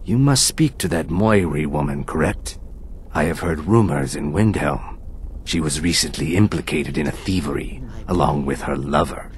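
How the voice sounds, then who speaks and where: An actor playing a calm, dutiful reptilian assassin in a video game, speaking in a measured, courteous, reflective way. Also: male voice, rumbling low, slight background noise. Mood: measured advice.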